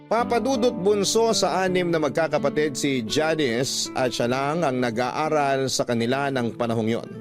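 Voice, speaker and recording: A man's voice: medium pitch, close to an everyday voice. A man playing a responsible uncle, narrating his story earnestly as scripted radio drama.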